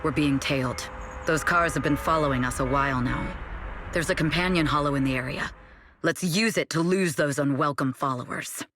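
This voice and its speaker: A woman playing a shrewd, composed female manager and former spy in a video game, measured, dry and matter-of-fact. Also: low-pitched, background noise, close to an everyday voice. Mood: serious, focused.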